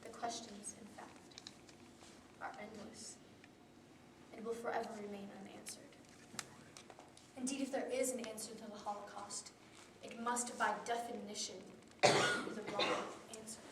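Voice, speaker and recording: A teenage girl giving a memorized competition speech with a solemn, deliberate delivery that drops to hushed tones. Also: a little high, steady tone, background noise. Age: teens. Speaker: student speaker. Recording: roomy echo from a distant camera.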